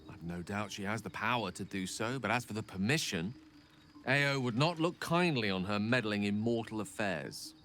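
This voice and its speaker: A man's voice: fairly low pitch, expressive, moderate pace, a bit bright. A man acting a weary, eloquent wizard facing his death in a video game, speaking in a formal, reflective and articulate manner. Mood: grave, resolved.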